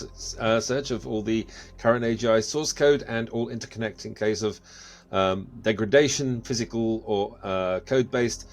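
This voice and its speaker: Man playing a low-voiced, laconic AI overseer. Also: natural voice. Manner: terse, formal, matter-of-fact. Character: laconic, businesslike male artificial intelligence overseer, dutiful.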